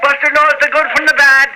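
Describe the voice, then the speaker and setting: A man playing an excitable, blustering Irish tough-guy sidekick, loyal and simple, in 1940s radio style with an Irish accent. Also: very high voice, normal speed. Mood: boastful, jolly. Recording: tinny, hissy old radio broadcast.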